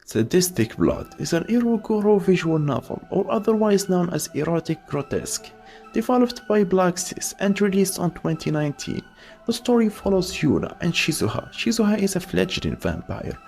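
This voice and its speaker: Lively, gravelly voice-over narrator. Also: mid-range voice, moderate pace, faint music behind. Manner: opinionated, wry asides. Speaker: video essay narrator. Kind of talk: scripted voice-over commentary.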